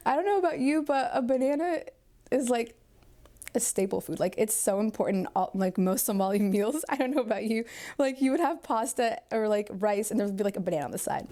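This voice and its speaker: An eighteen-year-old woman, a high school senior, answering interview questions in a chatty way, with lots of "like". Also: expressive, a little fast, dark tone. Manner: chatty, uses "like" a lot.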